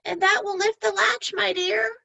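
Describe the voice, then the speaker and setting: Woman putting on a very high frail old granny. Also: steady tone, moderate pace, gravelly, sharp tone, exaggerated voice. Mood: kindly calling. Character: frail, kindly old grandmother, ill in bed. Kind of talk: children's storybook read-aloud.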